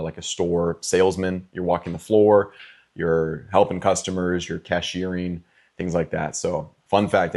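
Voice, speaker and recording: A man in his twenties from California, answering fan questions in a relaxed, chatty way with frequent ums and likes. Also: low voice. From California, USA. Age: 20s. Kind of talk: casual Q&A vlog.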